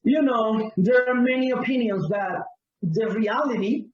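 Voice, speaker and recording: A man with a Cuban Spanish accent and a high voice, speaking slowly and earnestly as he searches for words. His sound is distant and roomy. It has the muffled, lo-fi quality of a video call. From Cuba. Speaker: pastor and guest. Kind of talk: interview answer.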